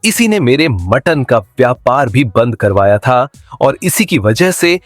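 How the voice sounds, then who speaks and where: Cartoon casting: actor as put-upon mutton seller, adult male, medium register, very lively intonation, emphatic and complaining. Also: medium pitch, unhurried, no echo, soft background music. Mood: accusing. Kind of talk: Hindi cartoon voice acting.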